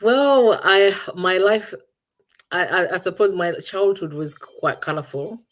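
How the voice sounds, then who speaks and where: Interview guest: low-pitched woman from Uganda, middle-aged, reflective and word-searching. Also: slow. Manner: thoughtful, searching for words with many restarts.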